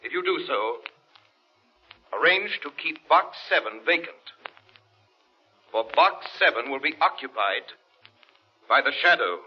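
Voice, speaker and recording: An actor playing a mysterious crime-fighting avenger, solemn and authoritative, in 1930s radio drama style. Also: male voice, fairly high pitch, animated, measured pace, pauses often, breathy edge. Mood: commanding. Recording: dull old radio recording, music behind.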